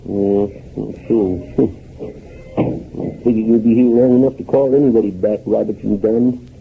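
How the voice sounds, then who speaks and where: An actor playing a folksy, obtuse old shopkeeper with a hesitant rural American way of talking, in 1930s radio comedy style. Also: male voice, fairly low pitch, steady tone, unhurried, vocal fry, a little hiss or hum. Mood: grumbling, scornful. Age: older. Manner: hesitant, drawling, countrified grammar. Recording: muffled 1930s radio recording.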